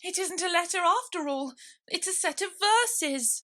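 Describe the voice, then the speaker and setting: Storybook rabbit herald, played by a woman: very high, bright, anxious and eager to please. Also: animated, unhurried, exaggerated voice.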